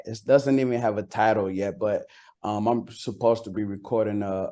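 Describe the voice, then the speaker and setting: Low-voiced man chatting casually. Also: mellow. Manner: slangy, halting. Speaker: rapper being interviewed. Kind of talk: casual chat.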